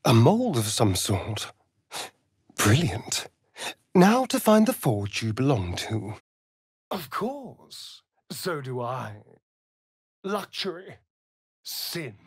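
A vain sly vampire for a video game, silky n theatrical, mocking slow drawl, super dry sound. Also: male voice, mid-range voice, sing-song, slightly rough, dry recording, full character voice. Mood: sardonic, amused. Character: sly, vain male vampire rogue, flamboyant and sardonic. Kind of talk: video game character voice lines.

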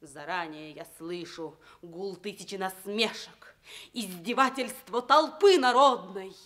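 A woman acting a scheming noblewoman in a theatrical Soviet radio tragicomedy. She speaks slowly and dramatically in a mid-range voice, declaiming with passion. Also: medium pitch, fairly bright tone, lightly acted. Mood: indignant, pleading. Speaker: stage actress.

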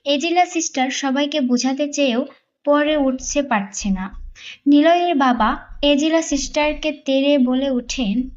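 A woman narrates a scripted romance story. Her voice sits high in pitch. The recording is somewhat dull and lo-fi. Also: unhurried, darkish tone.

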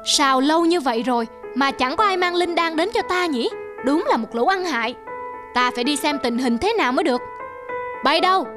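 High-voiced, imperious emperor. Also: female voice, expressive, a little fast, over music. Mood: impatient. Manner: commanding. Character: imperious, impatient male emperor of a folk tale. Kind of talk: cartoon voice acting.

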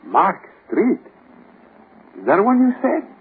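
An actor playing a grumpy innkeeper roused from sleep, grumbling in singsong broken English in an old-time radio drama. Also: male voice, high voice, huge pitch swings, measured pace, a little hiss or hum, exaggerated voice. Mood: irritated. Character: grumpy male lodging keeper roused from sleep. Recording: muffled old radio recording.

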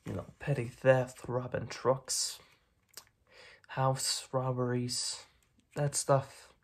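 A menacing, self-satisfied mob boss, played by a young man in an ASMR roleplay. He talks very slowly in halting, repeated phrases. His husky voice is full of creak and often sinks into a whisper, quietly intimidating. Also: medium pitch, exaggerated voice. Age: young adult. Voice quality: breathy, raspy, often dropping into whisper. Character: smug, menacing mob boss recruiting a kid. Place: dim room at home, indoors.

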